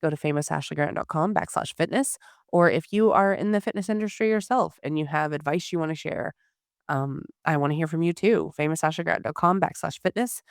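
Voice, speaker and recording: A hoarse woman giving a candid personal health update to her listeners, with frequent pauses and restarts. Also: deep voice, no echo, studio quality. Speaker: podcast host.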